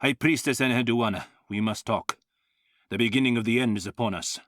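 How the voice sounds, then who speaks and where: A man playing a worried king of an ancient land, speaking gravely and urgently in a visual novel. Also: medium pitch, brisk, fairly bright tone, dry recording, studio quality, natural voice. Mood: anxious, urgent.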